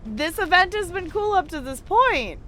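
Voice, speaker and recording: A woman's voice: very high-pitched, sing-song, moderate pace, sharp tone, a little hiss or hum. A woman chatting casually and animatedly as she streams a video game live and talks to her viewers. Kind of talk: casual live stream chat with gameplay commentary.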